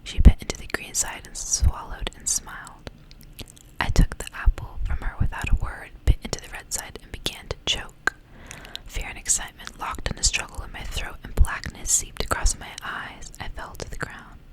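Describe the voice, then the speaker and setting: A woman reading a story aloud in an ASMR style, whispering softly and without hurry. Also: poor audio. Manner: whispering, soft and unhurried storytelling. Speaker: ASMR reader.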